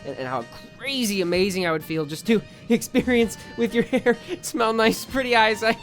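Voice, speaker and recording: A man's voice: sits high, normal speed, faint music behind, natural voice. A man playing a nervous, lovestruck young space cadet in an anime parody, stammering and awkward. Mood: flustered.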